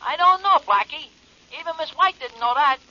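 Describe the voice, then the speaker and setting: A man's voice: very high-pitched, animated, moderate pace, pushed and bright, heavily acted. An actor playing an eager, plain-spoken male sidekick who passes on street news, in 1940s radio drama style. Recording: dull old radio recording.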